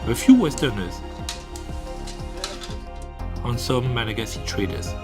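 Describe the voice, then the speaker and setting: A man reading a scripted documentary narration in a measured, formal way. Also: medium pitch, big pitch swings, over music.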